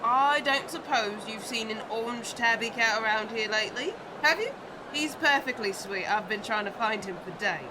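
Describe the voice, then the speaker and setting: A woman's voice: on the high side, normal speed, noisy background, natural voice. A woman playing a confident Victorian London street girl, persuasive and mock-polite, in an audio drama.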